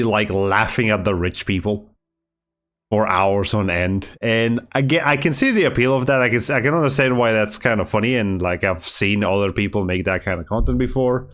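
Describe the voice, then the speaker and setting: A man speaking as a streamer, talking in a soft-spoken, halting way with many fillers and restarts, and sounding slightly nasal as he recovers from a cold. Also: lowish voice. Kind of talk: reflective casual monologue.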